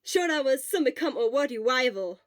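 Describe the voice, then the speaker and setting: Woman acting as teenage schoolboy, high voice, cheerful, exclamatory, energetic, amateur audio story. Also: normal speed. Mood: shouting, cheerful. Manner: exclamatory, eager.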